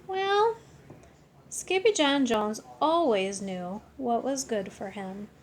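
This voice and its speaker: Slow, high-voiced woman reading a picture book. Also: expressive, a little hiss or hum. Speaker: story reader. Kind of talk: expressive children's picture book read-aloud.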